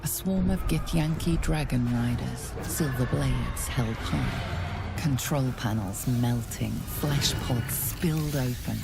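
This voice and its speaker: Ominous lady narrator for a fantasy rpg, slow, bit of creak, measured n grave. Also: extremely low pitch, over loud music, exaggerated voice. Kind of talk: scripted second-person game narration.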